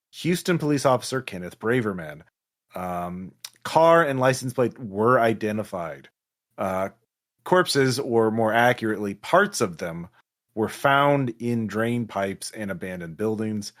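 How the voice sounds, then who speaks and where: Low-voiced man running a tabletop game. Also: lively intonation. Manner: halting, improvised delivery. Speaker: game master. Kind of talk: tabletop roleplaying game narration.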